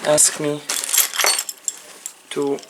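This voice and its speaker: Low, flat-voiced Ukrainian-accented woman. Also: heavy background noise. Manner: halting, repetitive phrasing in non-native English. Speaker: phone repair technician presenting a tutorial. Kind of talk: unscripted hands-on repair tutorial.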